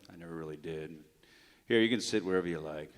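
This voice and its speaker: An actor playing a bitter, reclusive old widower, grumbling and hesitant, in a live radio drama. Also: male voice, deep voice, fast talker, a little hiss or hum. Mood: weary, reluctant. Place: large town hall before an audience. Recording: live theatre stage, long hall reverb.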